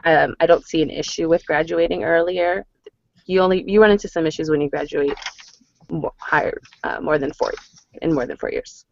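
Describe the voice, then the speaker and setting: A woman's voice: deep voice, moderate pace, gravelly, no echo. A woman working as a college advisor, explaining and answering questions on a conference call. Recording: dull lo-fi conference call line.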